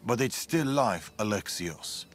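An actor playing a world-weary, guarded fugitive in ancient Greece, speaking gravely and deliberately in a video game cutscene. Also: male voice, lowish voice, lively intonation, a little hiss or hum. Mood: grave, wary. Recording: game music behind the voice. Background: background music.

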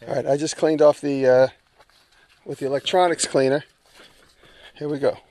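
A man's voice: fairly high pitch, steady tone, moderate pace, mellow, dry recording. A man hosting casually while fixing an old tractor outdoors, easygoing and swearing now and then. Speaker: host. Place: outdoors in a snowy yard.

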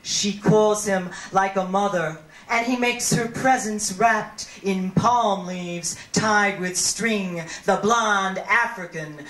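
Slow, theatrical woman poet in echo. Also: medium pitch, a bit bright. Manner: deliberate, theatrical delivery with drawn-out words. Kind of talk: live spoken-word poetry reading. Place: small brick-walled performance venue, indoors. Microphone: handheld stage microphone through a PA. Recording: echoey amplified 1990s live camcorder recording.

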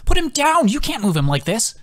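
Man voicing a brisk, higher-pitched woman druid healer. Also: wildly swooping pitch, full character voice. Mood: alarmed, commanding. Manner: urgent, direct. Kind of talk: audiobook character voice.

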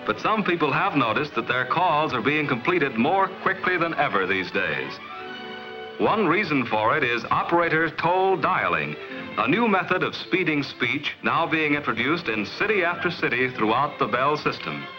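Male American narrator, slightly high-pitched, scripted mid-century instructional style, explaining steadily over prominent music. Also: very animated, highs cut off. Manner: measured, explanatory. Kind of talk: 1950s industrial film narration, scripted.

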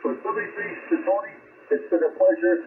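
A man's voice: high-pitched, quick pace, narrow, tinny audio. A man, an amateur radio operator chatting casually and hesitantly over shortwave radio. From Canada. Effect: heard over shortwave radio. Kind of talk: casual ham radio contact. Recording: thin, noisy single-sideband radio signal. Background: radio static.

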